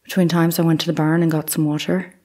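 An actress playing a young housemaid giving testimony in an audio drama, answering in plain, brief, deferential replies. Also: female voice, deep voice, flat delivery, quick pace, darkish tone. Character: young Irish housemaid on the witness stand.

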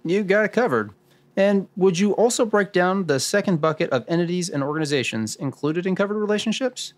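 A man's voice: high voice, expressive, a little fast. A man playing an assured, orderly expert sleuth in a scripted radio drama, explaining in a didactic way. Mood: assured, instructive. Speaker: lead expert guiding the discussion.

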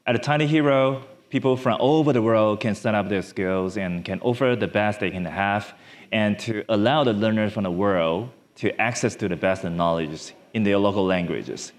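A man from Taiwan, giving a conference talk from a large stage on a lapel mic, halting with many fillers and restarts. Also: on the low side. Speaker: startup founder speaker.

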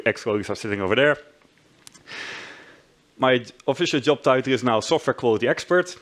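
A man in his thirties with a Dutch accent, giving a friendly, self-deprecating tech talk on a conference stage, with frequent restarts. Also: mid-range voice. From Netherlands. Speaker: conference speaker. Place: large conference hall stage.

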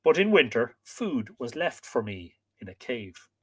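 A man's voice: medium pitch, big pitch swings, unhurried, slightly rough. A man reading the lines of a wild young boy raised by a deer, telling his sorrowful tale.

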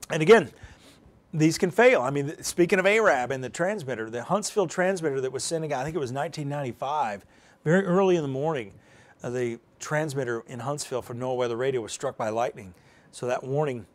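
A middle-aged man hosting a live weather explainer as a TV meteorologist, talking casually and thinking aloud with restarts. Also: a little high. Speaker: TV meteorologist, host. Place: indoor TV news studio. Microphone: lapel mic.

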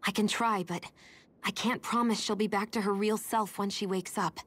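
A woman's voice: medium pitch, fairly even intonation, normal speed, a bit bright, breathy edge. An actress playing a frank, guarded young woman healer, matter-of-fact, in a dramatic video game. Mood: hesitant.

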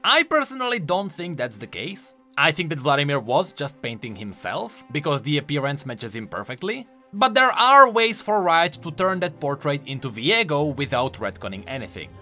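A man narrates an explainer video in a bright, fairly high voice. His intonation is very animated, with emphatic points made like an enthusiastic gaming commentator. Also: normal speed, hardly any pauses. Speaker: video game lore YouTuber. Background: music.

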